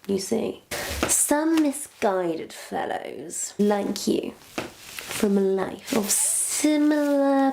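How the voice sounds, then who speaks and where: A woman playing a sly, self-satisfied witch posing as a missionary, in a theatrical, condescending monologue that savors each word to a captive listener. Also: a little high. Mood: smug, teasing. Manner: theatrical, condescending, savoring words with long pauses. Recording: roomy echo, faint background noise.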